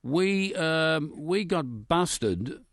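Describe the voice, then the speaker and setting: Animated Australian man in his fifties. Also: on the high side, extremely slow, no echo, clean studio sound. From Melbourne, Australia. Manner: animated raconteur. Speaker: guest. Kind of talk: casual anecdotes.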